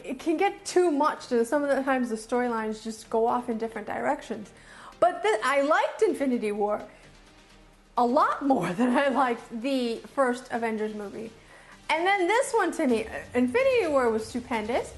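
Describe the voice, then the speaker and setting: A woman reviewing casually and off the cuff as a video host, enthusiastic and emphatic, often repeating phrases to drive them home. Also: sits high. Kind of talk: casual unscripted review to camera.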